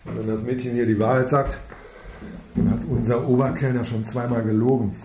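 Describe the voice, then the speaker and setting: A man's voice: medium pitch, little pitch movement, normal speed, a little mellow, close to an everyday voice. An actor playing a brusque, impatient male police detective who questions methodically in a radio crime drama. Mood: probing. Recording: echoey room with some noise.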